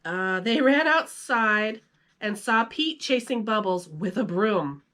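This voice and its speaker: A woman reads a children's storybook aloud. Her delivery is unhurried and highly animated, with a mid-range voice that moves up and down freely.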